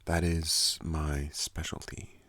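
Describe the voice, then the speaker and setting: A young man hosting an ASMR channel, speaking softly and calmly close to a studio microphone, slipping into whispers. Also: dry recording. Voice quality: deep gravelly soft voice. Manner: slow, calm, partly whispered. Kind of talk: soft-spoken ASMR talk.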